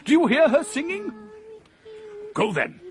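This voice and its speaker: A man's voice: very high voice, wildly swooping pitch, a little slow, heavily acted. An actor playing an impassioned male philosopher in a radio drama, declaiming with scorn against progress. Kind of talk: 1980s radio drama acting.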